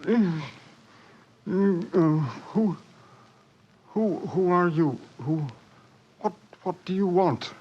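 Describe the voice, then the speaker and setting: A dazed villain stammers in a high, breathy, husky voice. He is halting, groggy and desperate, in forties radio drama style on a dull old recording. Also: heavily acted. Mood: dazed, confused. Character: drugged, desperate murderer, dazed and pleading. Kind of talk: 1940s radio drama acting. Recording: muffled 1940s radio recording.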